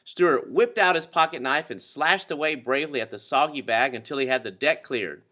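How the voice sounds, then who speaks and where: A man reading a children's story aloud with expressive, dramatic narration. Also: medium pitch, fairly quick. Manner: dramatic, animated. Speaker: storybook reader.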